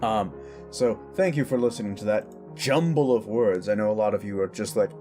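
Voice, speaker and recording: A man's voice: fairly low pitch, brisk, warm tone, soft background music. A man hosting his own audio channel, chatting in a rambling, enthusiastic, casual outro. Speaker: audio creator and host.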